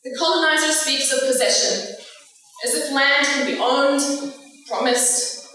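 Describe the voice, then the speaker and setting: A woman from Palestine gives a prepared speech at a lecture, with a measured and impassioned delivery. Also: sits high, sharp tone. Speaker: guest speaker. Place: large lecture hall. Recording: echoey hall recorded from far off.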